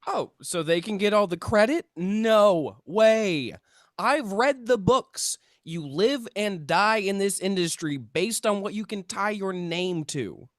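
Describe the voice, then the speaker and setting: A self-serious male UFO researcher, acted at a script read, defensive and paranoid. The delivery stammers and swings widely in pitch, landing points with emphasis in a very dry recording. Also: expressive, slow pace, dry recording. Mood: earnest, self-important. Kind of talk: table read of a comedy script.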